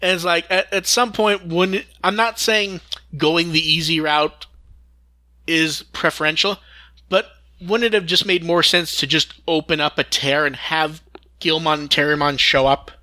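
High-pitched man, bright podcast voice. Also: steady tone, dry recording. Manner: halting, repeats and restarts phrases. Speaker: podcast host. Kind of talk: casual fan podcast discussion.

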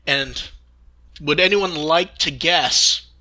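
Guy hosting a podcast, very bright forceful voice, a bit high, chatty with dry humour. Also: slow pace. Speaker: podcast host. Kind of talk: casual news discussion.

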